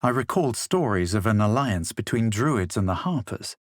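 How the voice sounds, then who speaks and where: An actor playing a haughty, self-assured male adventurer in a fantasy video game, speaking measured, formal scripted lines with a superior manner. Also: lowish voice, animated, dry recording, clean studio sound, natural voice. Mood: grave, measured. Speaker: video game player character.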